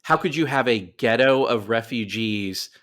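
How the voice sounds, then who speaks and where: A man speaking as a writer guest in a casual interview, explaining his creative process in a halting way with repeated self-corrections. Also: mid-range voice, a bit bright. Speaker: podcast guest, writer of comics and screenplays.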